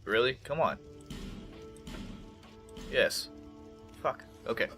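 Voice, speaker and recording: A man's voice: medium pitch, expressive, slow pace, fairly bright tone, faint music behind. Stuffy-nosed, foul-mouthed gamer man. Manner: profane, joking, talks back to the game. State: congested. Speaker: gameplay commentator. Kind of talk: casual let's-play commentary.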